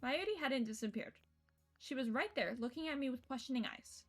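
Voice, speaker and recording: A woman streamer reading visual novel narration aloud in a playful, expressive storytelling way, with casual asides. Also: high voice, brisk. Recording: music behind the voice.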